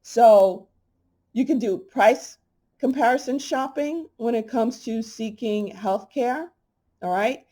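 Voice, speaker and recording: A middle-aged woman hosting a holistic health talk, speaking bluntly and emphatically, with a slightly hoarse voice. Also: a little high, slow pace, warm tone. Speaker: holistic health channel host. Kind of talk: casual monologue to camera.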